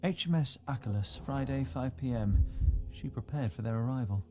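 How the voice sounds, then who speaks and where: An actor playing a cool, analytical young detective in a video game, speaking in a measured, deductive manner. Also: male voice, medium pitch, animated, music in the background, close to an everyday voice.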